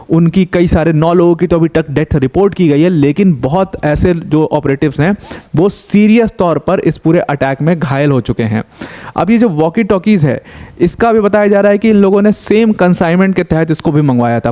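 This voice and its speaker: Young male news explainer, warm, slightly raised pitch, conversational, throws questions at the viewer. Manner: conversational, asks rhetorical questions, mixes in English terms. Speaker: current affairs educator.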